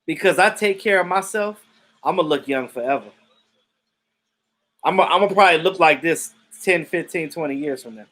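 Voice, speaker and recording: A man in his thirties hosts a live sports stream and talks to his viewers in a loose, unscripted way. He is blunt and outspoken and swears freely, and his voice sits a little high for a man. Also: moderate pace, fairly bright tone. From Jamaican family roots. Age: 30s. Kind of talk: casual sports chat reading viewer comments.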